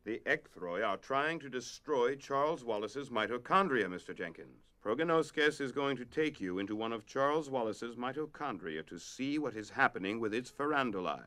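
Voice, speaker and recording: A man playing a commanding mentor in an audio drama, brisk and authoritative. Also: medium pitch, lively intonation, sharp tone. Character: commanding mentor directing a dangerous fantasy mission.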